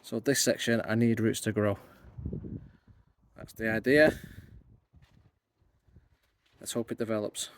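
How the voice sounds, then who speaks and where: A man with a croaky voice talking casually through his bonsai work as host of his channel, thinking aloud as he goes, outdoors. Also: fairly low pitch, fairly even intonation, measured pace, pauses often, a little hiss or hum. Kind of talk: casual hands-on tutorial talk.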